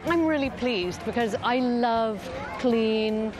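A woman's voice: a little high, takes it slowly, music in the background. A woman with a British accent, speaking conversationally as an interviewed fashion designer. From Britain. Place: outdoors on a street. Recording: busy outdoor street, crowd behind.

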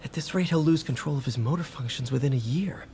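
An American actor voicing a friendly, encouraging young superhero mentor in a video game, with a casual coaching manner. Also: male voice, medium pitch, quick pace, warm tone, slight background noise, close to an everyday voice. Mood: worried.

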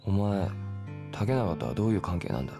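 Stubborn young street fighter, game drama, deep gruff voice, rough blunt talk, music under it. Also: male voice, animated, brisk, warm tone. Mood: curt, probing. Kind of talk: Japanese video game cutscene acting.

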